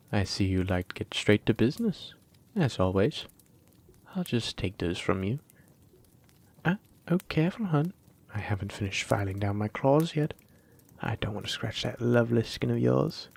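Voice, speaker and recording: A man playing a husky, flirty dragon-blooded librarian. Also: mid-range voice, darkish tone. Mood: flirty, teasing, then gentle, chatty. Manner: coy, teasing, drawn-out phrases with breathy asides. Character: flirty dragon-blooded male librarian, playfully teasing and persistent. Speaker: voice actor in a roleplay audio. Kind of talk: flirty second-person roleplay audio drama.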